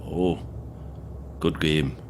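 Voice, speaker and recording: A man's voice: extremely low pitch, fairly even intonation, very slow, slight background noise, exaggerated voice. An actor playing a sly, curious male passenger in an audio drama, with an understated manner. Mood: sly curiosity. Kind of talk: scripted audio drama acting.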